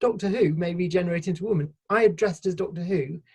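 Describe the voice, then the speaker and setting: A woman from Sheffield with a somewhat low, husky voice talks through her ideas at length. She is thoughtful and conversational, often restarting her sentences as she goes. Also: quick pace, a little mellow. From Sheffield, England. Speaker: podcast guest. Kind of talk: casual conversational interview.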